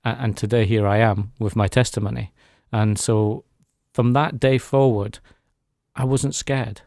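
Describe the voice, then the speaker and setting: A man's voice: lowish voice, fairly even intonation, unhurried, darkish tone, no room sound, studio quality. A man speaking as a guest in a radio interview, giving an earnest, reflective personal testimony. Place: radio studio.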